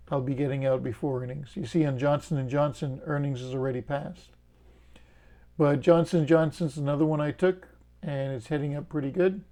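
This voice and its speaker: A middle-aged man from the Bahamas with a Bahamian accent, casually walking through his trades and explaining his rules in a plain, steady way. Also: on the high side. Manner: plain, steady explaining with fillers and folksy jokes. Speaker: options trading channel host. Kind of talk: casual screen-share walkthrough of trades.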